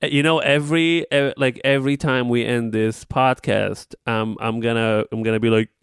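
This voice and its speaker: Smooth-voiced man co-hosting a podcast. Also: mid-range voice, fairly even intonation, normal speed, no echo, studio quality. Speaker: podcast co-host. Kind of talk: casual chat.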